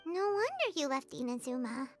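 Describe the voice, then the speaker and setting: A woman voicing a tiny, excitable, childlike fairy companion in a video game, with a chirpy manner. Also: sits high, moderate pace. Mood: earnest, supportive. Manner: chirpy, refers to self in third person. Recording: music behind the voice.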